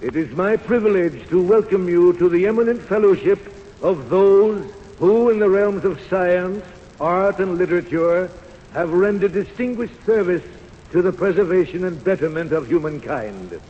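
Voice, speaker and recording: Radio play dignitary for a male actor: formal, stately orator, high register, dull vintage sound. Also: moderate pace, lightly acted. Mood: solemn, ceremonious. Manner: stately, ceremonious, declamatory. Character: formal, solemn male Nobel committee official presenting a prize. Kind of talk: 1930s radio acting, ceremonial speech. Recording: muffled old radio recording, long hall reverb.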